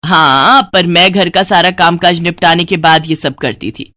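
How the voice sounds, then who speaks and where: Lady doing a grumbly middle aged mom for a story, low voice, sarcastic scolding. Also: unhurried, sharp tone, dry recording, studio quality. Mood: exasperated.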